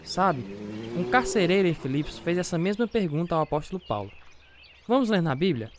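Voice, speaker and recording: A man's voice: high-pitched, sing-song, fairly quick, noisy background. A man acting as an earnest former boxer turned evangelist, narrating his life story in a scripted radio drama. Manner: earnest, devout. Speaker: narrator and lead actor.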